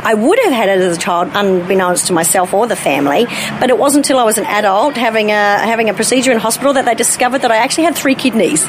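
A woman's voice: a little high, animated, fast talker, hardly any pauses. Upbeat New Zealand-born woman, noisy recording. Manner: upbeat and matter-of-fact about hardship. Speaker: interview guest. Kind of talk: personal story interview.

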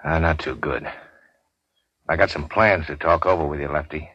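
An actor playing a weary, sardonic gangster in a 1940s radio drama, terse and slangy. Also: male voice, rumbling low, fairly even intonation, normal speed, dry recording. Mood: scheming, terse. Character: weary hard-boiled gangster, wounded, sardonic and unafraid. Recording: dull 1940s radio recording.

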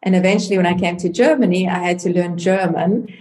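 A middle-aged woman from South Africa with Afrikaans as her first language, speaking in a low, mellow voice. She talks earnestly and easily as an interview guest, with a relaxed warmth and ready laughter. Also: fast talker, rough recording. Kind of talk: faith interview chat.

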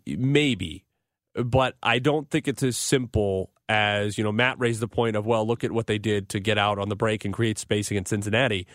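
A man hosting a live sports radio show, talking through soccer in a measured, analytical, articulate way. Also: fairly low pitch, steady tone, a bit bright, no echo, studio quality.

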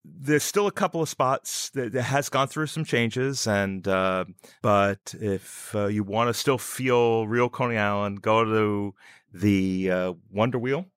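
A man with a New York accent chatting casually as an interview guest, halting and often repeating his words. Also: fairly low pitch, a bit bright, clear, resonant tone, no echo, clean studio sound. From New York City, United States. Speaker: podcast guest.

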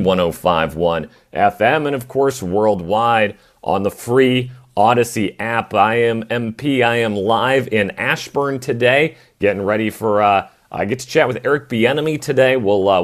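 A man hosting live sports talk radio, fighting a voice that is going, talking in restarts and quick asides. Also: lowish voice. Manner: animated, restarting phrases. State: losing his voice. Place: radio studio.

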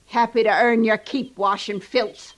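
An actress plays a hard-bitten frontier laundress with a high, folksy rural American voice. She is scornful and sarcastic, forever scolding, in the manner of old-time radio drama. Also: steady tone, a little slow, lightly acted. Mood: bitterly sarcastic.

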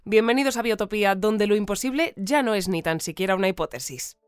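An actress playing a composed, formal radio newsreader delivering a scripted bulletin in an audio fiction. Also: female voice, mid-range voice, expressive, fast talker, lightly acted. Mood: composed, announcing. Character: composed female radio newsreader of strange town. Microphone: close studio mic. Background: music.

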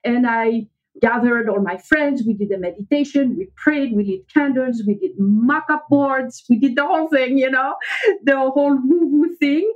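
A woman's voice: high voice, moderate pace, narrow, tinny audio. A woman from French-speaking Switzerland, a native French speaker with a French accent, telling her story earnestly as a podcast guest.

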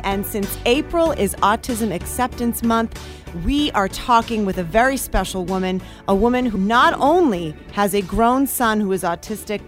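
Polished woman radio host. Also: medium pitch. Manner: polished, friendly scripted intro, then conversational questions. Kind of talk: radio talk show interview. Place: radio studio.

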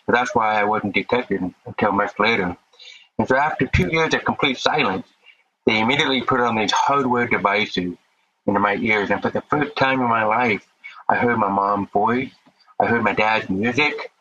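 A man's voice: on the low side, moderate pace, bright, forceful tone, husky, highs cut off. A man with a deaf accent, a podcast guest speaking earnestly about his life, sometimes repeating words as he starts. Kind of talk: casual interview talk.

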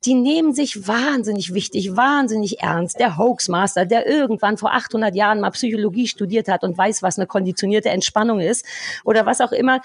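A woman co-hosting a podcast, talking casually in an animated, blunt ranting manner with some swearing. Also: medium pitch, a little fast, slightly rough, dry recording. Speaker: podcast co-host. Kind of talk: casual review chat.

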